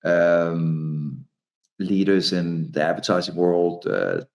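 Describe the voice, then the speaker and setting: A man's voice: low-pitched, takes it slowly, creaky, darkish tone. A man in his forties, a native Danish speaker, talking about his book in a hesitant, restarting way. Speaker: author and speaker. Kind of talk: casual conversation.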